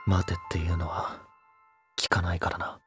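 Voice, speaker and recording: An actor voices a tall young man with a low voice, devoted to his lover. He speaks casually and intimately, gently insistent and tender, in the manner of a voice drama, with music behind him. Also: little pitch movement, normal speed, lightly acted. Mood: tender, yearning. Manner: casual masculine speech, soft and intimate. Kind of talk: Japanese voice drama acting.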